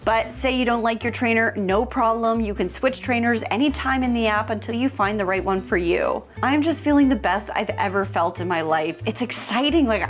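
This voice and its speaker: Chatty, upbeat woman vlogger over music. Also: a little high. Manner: upbeat, expressive, casual. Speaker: host of a DIY home makeover vlog. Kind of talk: chatty vlog narration.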